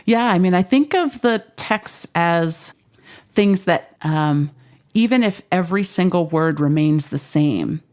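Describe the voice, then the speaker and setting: A woman speaking as a guest scholar, giving conversational academic commentary in thoughtful, measured phrases. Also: lowish voice, lively intonation, darkish tone.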